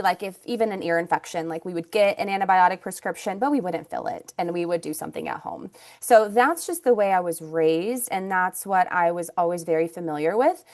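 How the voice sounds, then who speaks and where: A woman in her thirties from Ukraine, whose first language is Russian, talking as an interview guest about her path into naturopathic medicine and acupuncture, chatty and full of restarts. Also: on the low side. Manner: chatty, many fillers and restarts. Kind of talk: casual interview storytelling.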